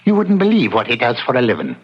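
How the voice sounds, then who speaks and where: A man's voice: mid-range voice, huge pitch swings, quick pace, breathy edge. An actor voicing an officious, wheeling-dealing policeman in an old-time radio drama, mixing pompous legalese with folksy slang. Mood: sly, cajoling. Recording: dull old-radio sound.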